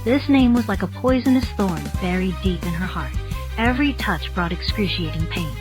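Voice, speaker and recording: A woman's voice: mid-range voice, expressive, normal speed. A woman narrating a scripted story in the style of an audiobook. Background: faint music.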